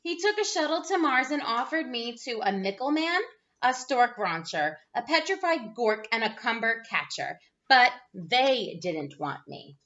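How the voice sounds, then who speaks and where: Female storyteller for young children, high bright voice, dramatic delivery, slightly dull lo-fi recording. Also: big pitch swings, unhurried. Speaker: teacher reading a picture book aloud. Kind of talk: animated children's read-aloud.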